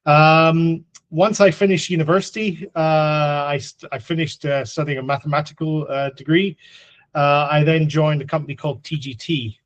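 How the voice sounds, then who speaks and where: A man's voice: fairly high pitch, fairly even intonation, moderate pace. A man from Scotland who grew up partly in the Middle East, speaking informally as a guest director introducing himself on an online meeting. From Scotland, then the Middle East from age ten.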